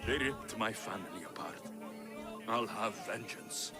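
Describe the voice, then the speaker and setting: Casting: male actor for a determined sword-for-hire out for revenge, game drama, fairly deep, blunt delivery over loud music. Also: a little slow, natural voice. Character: determined male mercenary seeking vengeance, blunt and steady. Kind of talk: scripted video game dialogue.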